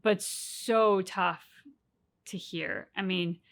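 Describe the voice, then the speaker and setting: A woman co-hosting a game reaction video, talking in an animated, rambling way with many restarts. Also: lowish voice, drawn-out words, gravelly. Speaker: co-host of a game reaction channel. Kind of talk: casual reaction chat.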